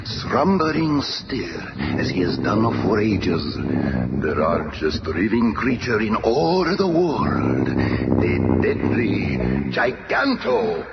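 An actor playing a proud, vengeful undersea prince in a radio superhero drama, with grandiose, declamatory delivery. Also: male voice, sits low, big pitch swings, slow pace, creaky, over loud music, exaggerated voice. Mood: triumphant. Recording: muffled old radio broadcast, heavy noise.

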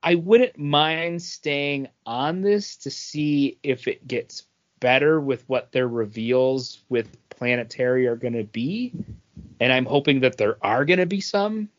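The recording is a little dull and band-limited. A man with a middle-range voice chats casually as a podcast co-host reviewing comics. He speaks haltingly, working out his thoughts as he goes. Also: medium pitch. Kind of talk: casual chat.

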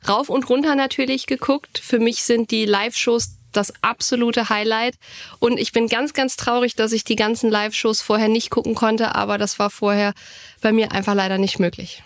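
A woman's voice: on the high side, fairly even intonation, moderate pace, no echo. Enthusiastic woman in a dry-sounding podcast. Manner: gushing, enthusiastic. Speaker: podcast co-host. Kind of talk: casual enthusiastic chat.

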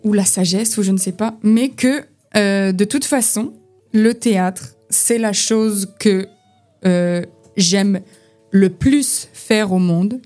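A woman's voice: medium pitch, slow pace, warm tone, soft background music. A young woman from the Vosges in France, a podcast guest talking casually about acting, with lots of fillers and restarts. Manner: chatty, many restarts and fillers. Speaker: podcast guest, actress and poet. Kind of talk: casual chat. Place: informal gathering over beer.

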